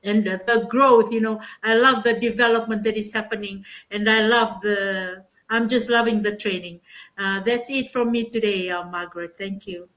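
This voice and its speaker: An older woman from Fiji giving an informal, enthusiastic testimonial on an online panel, often pausing as she goes. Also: mid-range voice, fairly even intonation, a bit bright. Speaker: panel speaker, business mentor.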